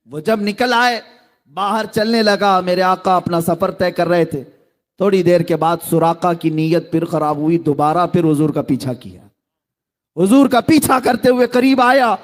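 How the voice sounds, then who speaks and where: A middle-aged man preaching a sermon, telling a story with dramatic swings between quiet lines and loud exclamations. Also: high voice.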